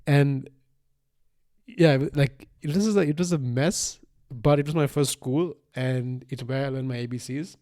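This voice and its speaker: A man in his thirties from Sri Lanka, with Sinhalese as his first language, talks off the cuff as a solo podcast host. His pitch sits in the middle, and he rambles casually, restarting and repeating phrases as he thinks aloud. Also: measured pace, warm tone. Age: 30s. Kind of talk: casual unscripted solo monologue.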